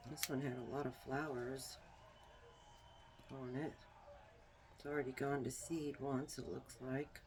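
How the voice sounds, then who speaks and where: A woman with a small, soft voice, demonstrating a herbal remedy in a homey, unhurried way while she works. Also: rumbling low, level tone. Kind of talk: casual how-to demonstration.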